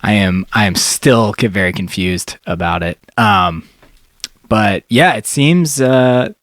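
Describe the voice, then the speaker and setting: A man's voice: lowish voice, lively intonation, slow pace, fairly bright tone, dry recording. A man co-hosting a comedy podcast, telling stories in a rambling way, full of fillers, and laughing easily. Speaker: podcast co-host. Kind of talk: casual comedic chat.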